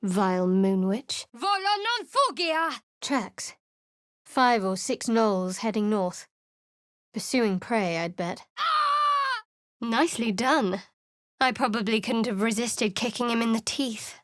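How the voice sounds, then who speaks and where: Dry, sarcastic young cleric. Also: female voice, fairly high pitch, sing-song, slow, a bit bright, dry recording, heavily acted. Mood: sardonic. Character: guarded sardonic young woman cleric. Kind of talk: studio video game voice acting. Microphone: close studio mic.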